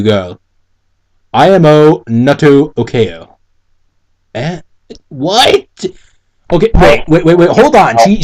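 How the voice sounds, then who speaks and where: A man with a slightly high voice does a casual, mocking dramatic reading. He stumbles over the text, breaks into commentary and swears in a giggly, exasperated way. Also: a little mellow. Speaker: co-host reading and mocking fan fiction.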